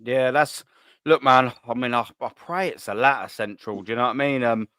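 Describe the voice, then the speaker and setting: A man's voice: mid-range voice, fairly quick, fairly bright tone, no room sound, clean studio sound. A man hosting a football call-in show, chatting casually with lots of slang, swearing and fillers. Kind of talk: casual live-stream chat.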